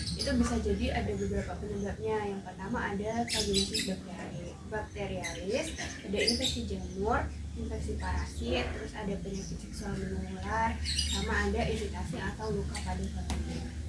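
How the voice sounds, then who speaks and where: Young woman, twenties, polite steady explainer; roomy distant sound. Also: medium pitch. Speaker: pharmacy student educator. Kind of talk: polite health outreach talk. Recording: echoey, distant phone recording with loud noise.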